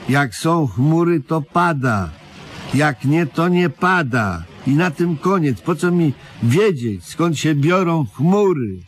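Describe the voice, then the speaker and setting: An actor playing a dim, stubborn, literal-minded man in a comedic radio play, halting and deadpan. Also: a little high. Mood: smug, contrary. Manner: halting, deliberate, obtuse deadpan. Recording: noisy old radio play recording.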